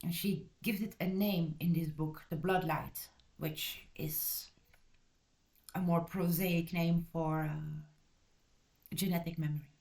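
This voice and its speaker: A woman's voice: low-pitched, steady tone, slow pace, gravelly, darkish tone. Rambling, self-correcting woman from Belgium. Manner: blunt and direct. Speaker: channel host reviewing a book. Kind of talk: casual book review.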